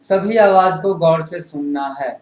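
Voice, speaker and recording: Male yoga instructor, fairly high pitch, very unhurried delivery of short guided-meditation cues. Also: a little mellow. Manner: instructing in short, repetitive phrases. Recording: roomy, echoing sound.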